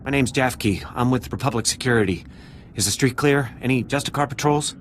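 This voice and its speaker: An actor playing a nervous, jumpy security officer in a video game, with an anxious, hesitant way of talking. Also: male voice, mid-range voice, brisk, a little hiss or hum, lightly acted. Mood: jittery. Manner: hesitant, anxious, hushed urgency. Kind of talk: video game character acting.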